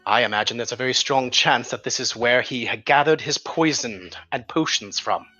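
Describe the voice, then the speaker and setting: A man's voice: mid-range voice, moderate pace, pushed and bright, soft background music, rough recording. A man voicing a cautious, formal informant in a fantasy tabletop mystery, choosing his words hesitantly and wordily. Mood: guarded, earnest. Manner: hesitant, wordy, formal phrasing. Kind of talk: improvised tabletop roleplay.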